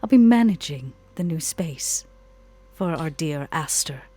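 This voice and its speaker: A woman's voice: sits low, animated, a little slow, dark tone. A woman voicing a cool, guarded female nightclub businesswoman in a tabletop roleplay, speaking in a formal, curt and pointed way. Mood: composed, formal. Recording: music playing behind the voice.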